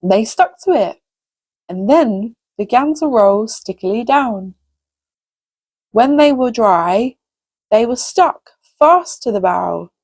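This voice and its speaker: A woman's voice: fairly high pitch, expressive, slow pace, a little mellow. A woman hosting a story time for young children, reading expressively and addressing the listeners directly. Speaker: host reading children's stories.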